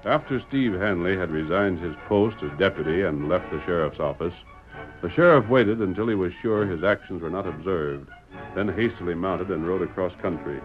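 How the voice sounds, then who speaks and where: A man's voice: low-pitched, normal speed. A man narrating a vintage radio western as a scripted, dramatic storyteller. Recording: dull vintage radio recording. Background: music.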